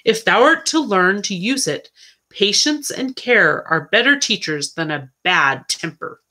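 A woman voicing a patient, kindly monk carpenter in a storybook, with measured, archaic speech. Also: medium pitch, animated, sharp tone. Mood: quiet reproof.

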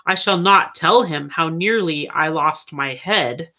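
A woman voicing a patient, kindly monk carpenter in a storybook, with measured, archaic speech. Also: low-pitched, sharp tone, full character voice. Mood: comforting.

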